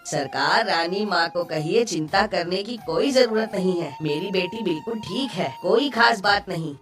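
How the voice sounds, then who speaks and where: A man's voice: high voice, animated, moderate pace, a bit bright. Guy playing a nervous honest fisherman in a cartoon, faint music, off the mic a bit. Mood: deferential. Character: worried, deferential fisherman husband, urging and conscientious. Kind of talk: Hindi cartoon story dialogue. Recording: distant sound with faint music.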